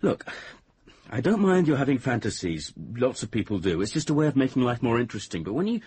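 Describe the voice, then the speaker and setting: Sensible young man, boyfriend, radio drama, very breathy, husky, expressive intonation, conversational. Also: mid-range voice, fast talker. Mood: dismayed. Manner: conversational, placating, turning exasperated.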